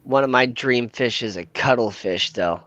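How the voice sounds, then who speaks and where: A man co-hosting a casual livestream, explaining fish problems in a relaxed way with frequent fillers. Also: medium pitch, moderate pace, dry recording. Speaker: fish store worker and co-host.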